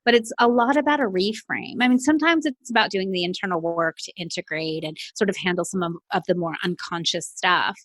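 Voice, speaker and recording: A woman's voice: lowish voice, brisk, few pauses, a bit bright, dry recording. Passionate North American woman coach. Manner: passionate, explanatory, with many "you know" fillers. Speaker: business coach guest. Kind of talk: casual interview chat.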